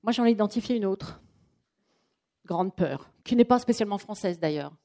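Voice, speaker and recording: A woman presenting a live coaching workshop to a room of people, talking in a chatty, lively way and acting out what clients say. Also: medium pitch, normal speed. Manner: lively, chatty, acts out quotes. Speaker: trainer and coach. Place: training room with an audience.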